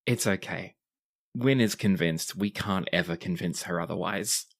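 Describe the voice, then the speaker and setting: A performer playing an awkward, defensive runaway prince with a halting manner in a tabletop game. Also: deep voice, creaky. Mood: flustered. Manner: halting, flustered.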